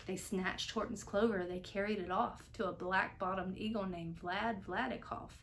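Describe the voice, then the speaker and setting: A woman storyteller narrating a children's picture book aloud in an expressive style. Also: mid-range voice, moderate pace, vocal fry, slight background noise.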